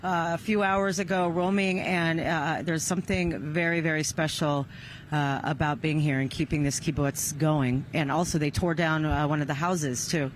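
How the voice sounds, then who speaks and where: A woman conducting a live news interview on location outdoors, with halting, hesitant phrasing. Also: low-pitched, fairly even intonation. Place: outdoors near a rural kibbutz. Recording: noisy outdoor field recording.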